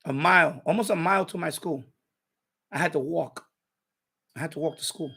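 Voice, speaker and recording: A man's voice: fairly high pitch, normal speed, vocal fry, bright, forceful tone, breathy edge. A middle-aged man from Puerto Rico chatting casually on a livestream, rambling and often repeating himself. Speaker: live streamer, radio hobbyist.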